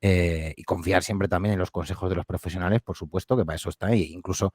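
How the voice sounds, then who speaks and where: A man's voice: sits low, fairly even intonation, fast talker, a little mellow, slightly rough, dry recording. A man who makes audio fiction, answering interview questions in a friendly, hesitant way with fillers and restarts. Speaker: podcast creator and guest. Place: home studio.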